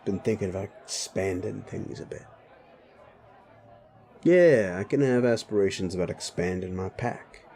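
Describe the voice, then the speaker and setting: A man's voice: deep voice, expressive, a little slow, pauses often, warm tone, some chatter behind. A man playing a sleazy, bullying, foul-mouthed werewolf boss in a roleplay audio drama, blunt and needling. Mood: gruffly conceding. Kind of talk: immersive roleplay audio drama addressed to listener.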